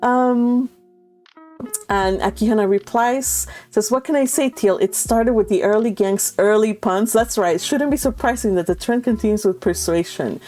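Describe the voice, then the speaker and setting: Female livestream host, chatty and affectionate, informal delivery at an average pitch, faint music underneath. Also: moderate pace, warm tone. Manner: chatty, giggly, affectionate toward listeners. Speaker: host of a live read-along stream. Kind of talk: casual livestream chat with viewers.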